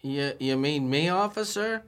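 A man's voice: a little high, expressive, a little slow, clear, resonant tone. An actor playing a nervous, lovestruck young man who stammers and boasts in an old-time radio comedy. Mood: nervous, hopeful. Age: young adult. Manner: stammering, naive, slangy. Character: nervous, lovestruck, boastful twenty-one-year-old suitor.

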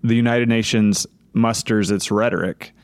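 A man giving unscripted opinion commentary as a co-host, building his points in a deliberate, rhetorical way. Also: on the low side, fairly even intonation, no echo.

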